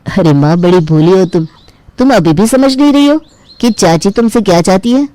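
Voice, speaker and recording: Protective, savvy son voice performer, soft dark tone, knowing and exasperated, cartoon family tale, dry recording. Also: medium pitch, lively intonation, normal speed, no room sound, lightly acted, poor audio. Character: shrewd, protective son warning his naive mother. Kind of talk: Hindi family drama cartoon storytelling.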